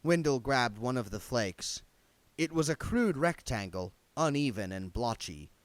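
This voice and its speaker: Male amateur audiobook reader, mid-pitched with wide intonation, dramatic storytelling, dry close sound. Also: lively intonation, measured pace, no room sound. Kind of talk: scripted audiobook narration.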